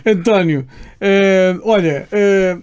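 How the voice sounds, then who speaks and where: Male podcast host, high voice, friendly and halting with fillers. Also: a little mellow, smooth. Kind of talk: casual interview talk.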